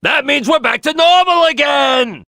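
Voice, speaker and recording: An actor voicing a brash, gung-ho male crocodile detective boss in a gruff, raspy video game voice. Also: very high-pitched, measured pace, bright, forceful tone, no room sound, raised voice, studio quality, full character voice. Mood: fired up.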